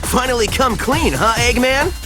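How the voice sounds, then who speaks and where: A man's voice: very high-pitched, expressive, normal speed. A man voicing a cocky, youthful cartoon hero in a video game, with a breezy, taunting manner. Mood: mocking, confident. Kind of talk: animated video game cutscene acting. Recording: music under the voice.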